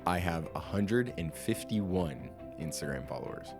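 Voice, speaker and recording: A twenty-year-old man talks through questions about his own year in an easy, candid way, poking fun at himself. His voice sits low. Soft music plays faintly underneath. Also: expressive, measured pace, vocal fry. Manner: candid, chatty, self-deprecating. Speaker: vlogger answering yearly self-interview questions. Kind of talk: casual self-interview.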